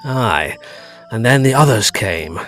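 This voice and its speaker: An actor playing a bold, curious gentleman friend in a period ghost story, theatrical, old-fashioned and inquisitive. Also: mid-range voice, expressive, takes it slowly, faint music behind. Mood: wondering storytelling. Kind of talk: period ghost story audio drama acting.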